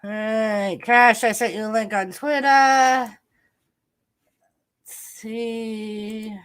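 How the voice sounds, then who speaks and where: A woman's voice: on the high side, steady tone, extremely slow, a bit bright. A woman with a stuffy nose hosting a live stream and greeting viewers in chat. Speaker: stream host.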